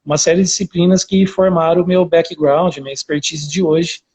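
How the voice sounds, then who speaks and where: A man's voice: a little high, steady tone, fairly quick, darkish tone, husky. A talkative man of around forty with an interior São Paulo Brazilian accent, telling his own story casually as a researcher being interviewed on a live video call. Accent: interior São Paulo Brazilian Portuguese. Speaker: academic researcher, interview guest.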